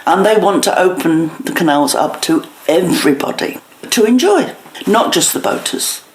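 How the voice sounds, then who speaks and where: A woman giving plain-spoken explanatory answers in an interview, in short, clear statements. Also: fairly low pitch, lively intonation, moderate pace. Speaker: canal historian interviewee. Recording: echoey room with background noise.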